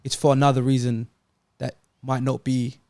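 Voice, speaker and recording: Male football panelist, creaky voice, dry sound, casual debating style. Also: medium pitch, little pitch movement, normal speed, a little mellow, no room sound. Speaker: football talk show panelist.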